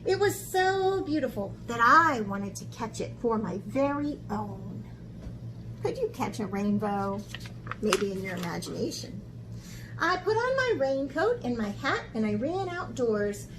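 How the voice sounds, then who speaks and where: A woman art teacher talking to young children in an expressive, encouraging way, as in a lesson or picture book read-aloud. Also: high-pitched, slight background noise, poor audio. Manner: expressive, encouraging talk aimed at young children, with dramatic gasps.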